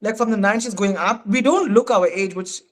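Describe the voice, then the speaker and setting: A South African man in his thirties, a podcast guest in casual, animated chat who laughs often. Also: high-pitched, fairly quick. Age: 30s.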